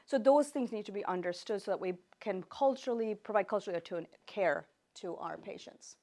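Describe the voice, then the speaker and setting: A woman's voice: mid-range voice, lively intonation, fairly quick, dark tone. Woman lecturing, native Gujarati speaker. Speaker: professor, researcher lecturing. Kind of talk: informal academic lecture with slides.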